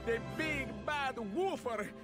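An actress playing a worried, earnest villager briefing heroes in a video game, with broken grammar. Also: female voice, high voice, lively intonation, slow, loud music behind the voice. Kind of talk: animated video game character acting.

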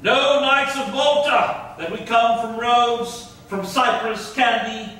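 An actor playing an imperious Turkish prince demanding tribute, declaiming in classical stage style. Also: male voice, extremely high pitch, fairly even intonation, slow, sharp tone, full character voice. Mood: commanding. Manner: declamatory, commanding. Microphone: far camera. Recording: very echoey hall, distant.

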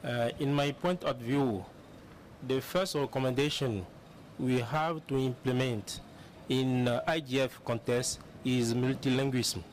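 A man speaks slowly and emphatically on a panel in a large conference hall, pausing and restarting his words. Faint room noise sits under the voice. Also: medium pitch, fairly even intonation. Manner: slow, emphatic, with hesitations. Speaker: organization representative on a panel.

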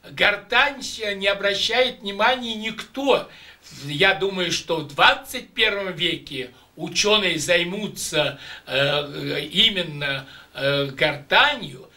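An older man teaching voice exercises to students, speaking emphatically and deliberately in a small room, recorded by a distant camera mic. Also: high voice, expressive, bright, forceful tone. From Russia. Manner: emphatic, declamatory, slow and deliberate. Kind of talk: demonstrating exercises and addressing students. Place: small room with patterned curtains. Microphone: camera microphone at a distance.

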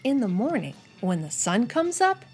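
A woman's voice: a little high, faint music behind. A young woman host reading a picture book aloud expressively for young children. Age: young adult. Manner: animated, deliberate delivery for young children. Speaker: children's storytime reader and host.

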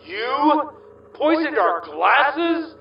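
A man plays a goofy, easily tempted American ghost spy in an audio drama. His high voice bounces around in pitch as he hesitates in slang. He sounds a little distant and roomy. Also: big pitch swings, very slow, muffled recording, full character voice. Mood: flustered.

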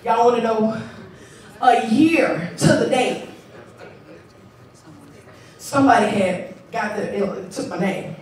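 A woman of thirty-nine preaching on a church stage with a handheld mic, chatty and playful, then building to shouts. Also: on the high side, slow pace, long pauses. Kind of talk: sermon. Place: large church sanctuary, stage. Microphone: handheld microphone. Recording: echoey hall, far mic, crowd responses. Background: congregation calling back.

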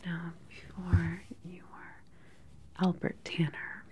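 Slow whispering woman as a research doctor. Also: lowish voice, completely flat delivery. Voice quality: breathy, unvoiced whisper. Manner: gentle, methodical, measured questioning. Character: calm government research doctor interviewing a patient. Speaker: ASMR roleplay performer playing a doctor. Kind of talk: whispered ASMR medical exam roleplay. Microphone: close microphone.

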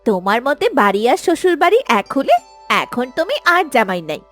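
A woman voicing a sharp-tongued, exasperated wife in a cartoon, with quick, snappy retorts. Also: sits high, sing-song. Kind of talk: cartoon voice acting. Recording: faint music behind the voice.

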